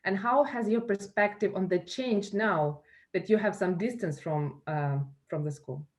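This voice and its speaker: A woman's voice: medium pitch, measured pace, darkish tone. A woman with a Ukrainian accent moderating a roundtable Q&A, speaking with many fillers and restarts. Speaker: moderator of a school alumni panel. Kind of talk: hosting a roundtable Q&A.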